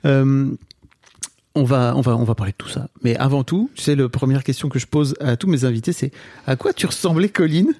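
A man's voice: medium pitch, a little fast, warm tone, no room sound. A man from northern France hosting a podcast, chatting casually in informal, slangy speech with a teasing edge. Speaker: podcast host. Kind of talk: casual interview chat.

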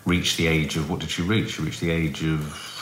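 A man co-hosting a casual parenting podcast, talking openly and with expressive, rambling asides. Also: very deep voice, normal speed, noisy background. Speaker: podcast co-host. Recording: roomy echo.

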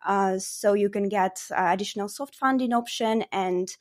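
A woman with a Ukrainian accent giving conversational interview answers as a startup guest, often pausing mid-phrase. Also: mid-range voice.

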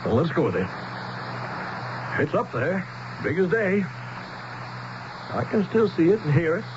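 A man's voice: on the high side, big pitch swings, measured pace, background noise. An actor playing a reluctant, anxious but determined everyman explorer in a 1970s radio drama, speaking plainly and earnestly. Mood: tense, resigned. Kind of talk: 1970s radio drama acting, dialogue and first-person narration. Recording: dull lo-fi 1970s radio broadcast, music behind.

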